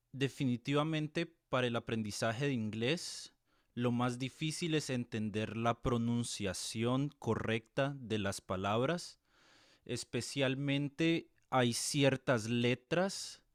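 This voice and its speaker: Measured Colombian man, dry close sound. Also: medium pitch, no room sound. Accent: Colombian Spanish. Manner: measured, explains with examples and tag questions. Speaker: tutor and music teacher being interviewed. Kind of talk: thoughtful explanatory interview answers.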